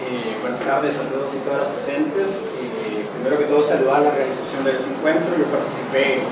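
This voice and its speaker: A man from Costa Rica giving a political conference talk with a sore throat, measured and full of fillers and restarts. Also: medium pitch, fairly even intonation. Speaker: conference speaker. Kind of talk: political lecture. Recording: echoey hall, distant mic, clear noise.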